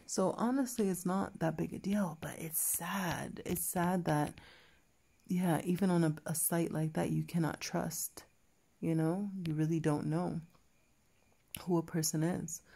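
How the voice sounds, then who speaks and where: A woman with a low, gravelly voice recounts a personal story in a relaxed, chatty way. Her tone is dark and mellow, and she often restarts her sentences and stops to quote what was said. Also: a little slow. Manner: chatty, many fillers and restarts, quotes others in dialogue. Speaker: vlogger. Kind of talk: casual storytelling to camera.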